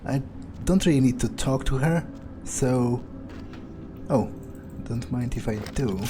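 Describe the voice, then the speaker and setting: A man's voice: medium pitch, slow pace, background noise. A man doing in-character game commentary, thinking aloud in a musing, hesitant, casually sweary way. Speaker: video game player narrating in character.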